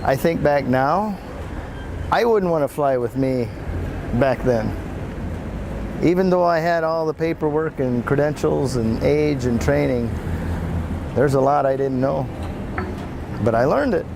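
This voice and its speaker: Older man, co-host, dry humor, relaxed talk, outdoor traffic noise. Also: fairly high pitch, unhurried, vocal fry, long pauses. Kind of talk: advice talk show chat. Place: outdoors in the sun.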